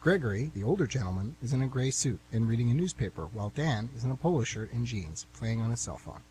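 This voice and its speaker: A man's voice: medium pitch, expressive, fast talker, a little mellow, rough recording. A man hosting an amateur radio theatre show, chatty and informal as he introduces and narrates. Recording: amateur radio, faint background hum.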